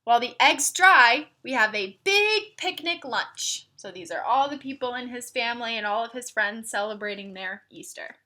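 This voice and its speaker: Lady doing a kids read-aloud, really bright voice, a bit high, teachery asides and questions to the listeners. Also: lively intonation, moderate pace, hardly any pauses. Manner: instructive, asking listeners questions. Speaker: teacher reading a picture book aloud to children.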